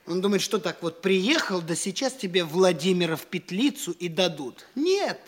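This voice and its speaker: An actor playing a vain, flighty young gentleman braggart from the capital, with an affected, pompous delivery in a classic Russian stage comedy. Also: high-pitched, sing-song, moderate pace. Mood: boastful. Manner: affected, pompous, self-important.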